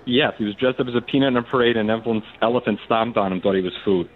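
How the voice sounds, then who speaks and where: A man's voice: lowish voice, rapid-fire. A man calling in to a radio show for casual quizzing chat, eager and tripping over his questions. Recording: muffled phone-in line.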